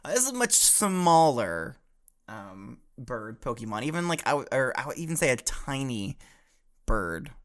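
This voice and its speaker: A man playing a good-humored professor at the front of a mock classroom. His pitch rises and falls a great deal as he reads out letters and banters with his students. He hesitates often with fillers and laughs easily. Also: very animated, moderate pace. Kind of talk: comedic improvised class roleplay.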